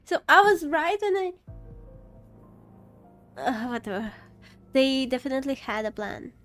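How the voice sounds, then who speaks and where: A woman's voice: sits high, sing-song, measured pace, fairly bright tone. A woman streaming a game, reacting casually with exclamatory asides. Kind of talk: casual live gameplay reaction. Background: faint game music.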